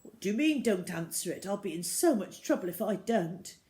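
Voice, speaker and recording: A woman's voice: medium pitch, lively intonation, brisk, mellow, close to an everyday voice. A woman voicing an anxious, muddled grown man, a hesitant father in a children's storybook. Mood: worried. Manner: hesitant, flustered.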